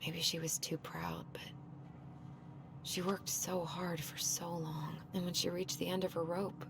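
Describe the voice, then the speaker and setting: An actress playing a self-doubting, caring young adult sister with an American accent in a naturalistic video game cutscene. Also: female voice, on the low side, level tone, a little slow, noisy background. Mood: somber.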